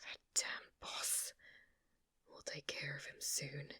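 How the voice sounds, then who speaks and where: A woman performs a sweet British shop clerk for an ASMR roleplay, with an obsessive streak under the shyness. She speaks slowly and softly in a hesitant, solicitous way, very close and dry with no room sound. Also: no echo. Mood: muttering, obsessive anger. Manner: hesitant, apologetic, solicitous, with soft giggles. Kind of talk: soft-spoken ASMR roleplay acting.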